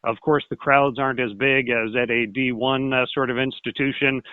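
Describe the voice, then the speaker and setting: A man speaking as a sports broadcaster guest in a casual interview, with a folksy, halting, rambling way of talking. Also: medium pitch, flat delivery, dry recording, narrow, tinny audio.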